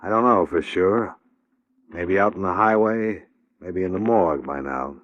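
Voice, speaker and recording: A muffled old radio recording carries a cool American private investigator. The voice sits low, and the lines come dry, clipped and wry in classic 1950s detective-drama style. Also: male voice, unhurried. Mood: coolly accusing. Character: cool, hardboiled freelance male insurance investigator.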